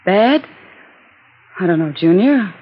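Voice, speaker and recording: A woman's voice: mid-range voice, expressive, slow pace, darkish tone, breathy edge. An actress in an old-time radio drama playing an uneasy, evasive woman who speaks hesitantly. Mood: evasive, pensive. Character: uneasy evasive woman, a hard man's lover. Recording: noisy old radio recording.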